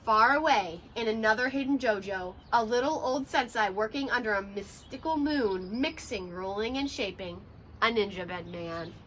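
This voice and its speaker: High, bright young woman reading a storybook. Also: normal speed, a little hiss or hum, low-quality recording. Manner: dramatic, carefully paced for children. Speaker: teacher reading aloud. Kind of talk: expressive children's storybook narration. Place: outdoors on a porch by a brick wall.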